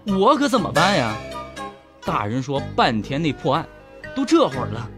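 A plaintive male fisherman suspect in a comedy radio play. He is a pedantic know-it-all with a very lively, swooping delivery, and music plays behind him. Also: on the high side, moderate pace. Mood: exasperated. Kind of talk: comedy radio drama acting.

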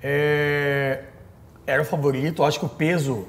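A man's voice: a little high, little pitch movement, normal speed, a little hiss or hum. A man with a southern Brazilian accent, chatting casually and putting questions to a panel. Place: small indoor studio set.

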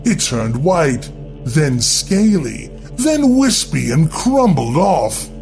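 A man narrating a scripted horror story in a deliberate, theatrical, dramatic way. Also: fairly high pitch, big pitch swings, vocal fry, darkish tone. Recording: music bed under the voice. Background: music.

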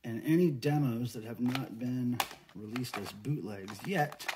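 Middle-aged man, American accent, mid-range pitch, casual vlog chat, folksy and informal, clear background noise. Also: unhurried. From United States. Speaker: vlogger, record collector and fan site manager. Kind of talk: casual unboxing vlog talk. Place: small indoor room at home.

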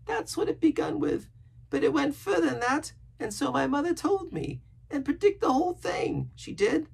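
A man's voice: very high-pitched, gravelly, fairly bright tone, pitched above the performer's own voice, heavily acted. A man voicing a half-crazed, sly and pious marooned sailor in a storybook, with a strained, cracking voice and rustic pirate grammar.

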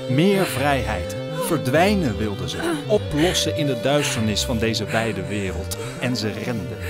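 A man narrating an audio drama as a scripted, dramatic story. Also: mid-range voice. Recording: music under the narration.

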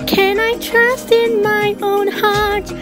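A woman's voice: extremely high pitch, flat delivery, slow pace, over loud music. A woman voicing a young female lion cub, a reluctant princess, plaintive and childlike, in a cartoon fan dub. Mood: wistful singing.

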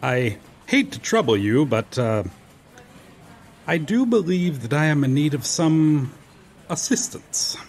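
Man acting a slow, courteous medieval knight. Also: fairly high pitch, very animated, a little mellow, slight background noise, heavily acted. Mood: polite, puzzled. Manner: formal archaic phrasing, theatrical, hesitant pauses. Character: courteous, befuddled medieval knight, earnest and noble. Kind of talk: ASMR roleplay audio drama, addressing the listener.

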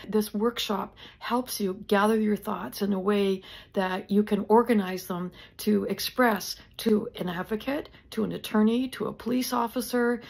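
An older woman with a mid-pitched voice gives a recorded introductory address. She speaks as a founder, in an earnest and measured way, with halting phrasing. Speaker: nonprofit founder giving an introduction.

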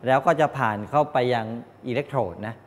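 Older male lecturer, mid-pitched voice, halting explanatory delivery, polite particles, reverberant classroom, background rumble. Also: fairly even intonation. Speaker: technical college lecturer. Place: medium-sized indoor classroom with students. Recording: reverberant room, clear background noise, low rumble.

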